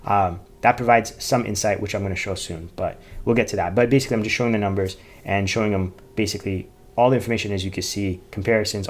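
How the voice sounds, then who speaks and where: A man giving informal, unscripted finance commentary, reading out figures in a halting, repetitive way and often correcting himself. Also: on the low side, darkish tone, a little hiss or hum. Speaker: finance YouTuber reviewing company earnings.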